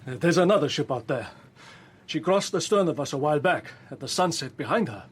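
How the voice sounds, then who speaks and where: An actor playing a proud, suspicious ship's captain in a radio drama, husky-voiced, blunt and formal. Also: male voice, fairly high pitch, animated, a little fast. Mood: wary.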